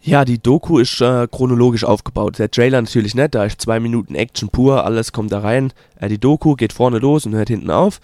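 A man with a Palatinate German accent talks casually about his work in an interview, mid-pitched and unhurried. He pauses often and fills gaps with hesitation sounds. The recording is dry and close. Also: darkish tone, dry recording. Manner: hesitant, many filler sounds, slow drawn-out phrasing. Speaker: documentary filmmaker guest.

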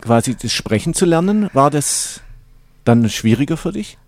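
A man's voice: on the high side, sing-song, normal speed, darkish tone, dry recording. A man interviewing guests, asking curious questions that he often restarts.

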